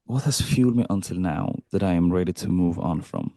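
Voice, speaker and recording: A man from Sweden speaks in a low voice with a dry, close sound. He talks casually and reflectively in a conversation. His delivery is halting, and he repeats phrases as he works through his thoughts. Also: gravelly, warm tone, dry recording. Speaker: podcast participant.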